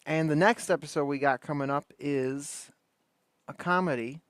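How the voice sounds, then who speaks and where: A dry, close-miked recording of a man with a slightly high voice. He hosts a livestream, chatting informally and rambling along in slang. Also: moderate pace, fairly bright tone, smooth, no room sound. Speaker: livestream host. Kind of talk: casual livestream chat.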